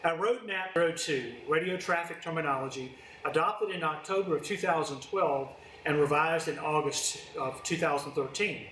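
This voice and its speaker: A man's voice: a little high, fairly bright tone. A man giving a formal, scripted training presentation as a fire department instructor. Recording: roomy, distant mic, faint noise.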